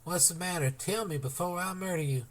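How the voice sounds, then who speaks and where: A stormy young woman who barks orders and pleads in turn, as a male audiobook reader puts her on. The voice sits high and swoops widely, with a husky edge underneath. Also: lively intonation, moderate pace. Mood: demanding. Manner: urgent, stormy, shouting warnings. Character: frantic, commanding young woman of a household. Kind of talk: audiobook character voice.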